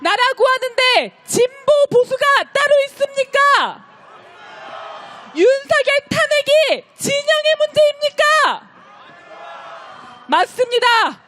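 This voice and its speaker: A woman leading a street protest, delivering impassioned, declamatory speech and shouted chants to the marchers. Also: very high voice. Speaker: rally host leading chants. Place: outdoor street march beside a park.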